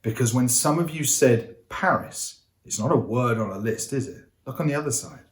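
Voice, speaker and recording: A man's voice: medium pitch, animated, normal speed. Upbeat man teaching in a roomy space. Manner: upbeat, coaxing, addresses viewers directly. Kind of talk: energetic interactive lesson to camera. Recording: roomy echo.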